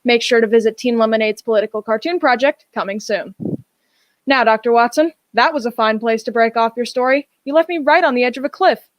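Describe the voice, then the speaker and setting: A woman's voice: a little high, a little fast, no echo. A woman reading scripted announcements as the announcer of a radio show.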